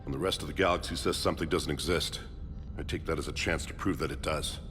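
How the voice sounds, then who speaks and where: A man playing an earnest, driven middle-aged research doctor in a video game, speaking in a measured, articulate way. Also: a bass voice, fairly even intonation, a bit bright. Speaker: scientist leading a task force. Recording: hall reverb, game music behind.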